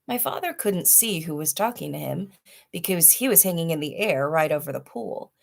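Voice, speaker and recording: A woman narrating a children's story in an expressive read-aloud style. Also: deep voice, normal speed, gravelly. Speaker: storybook reader.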